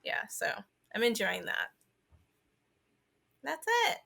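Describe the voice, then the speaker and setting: A woman's voice: on the high side, wildly swooping pitch, slow, gravelly, pushed and bright. A woman podcast co-host in casual chat about games, informal and sweary, peppering her speech with "like".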